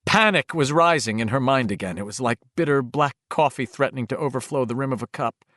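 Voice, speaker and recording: A man reading horror fiction as a dramatic, expressive audiobook narrator, with a suspenseful delivery. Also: medium pitch, a little fast, no room sound, clean studio sound.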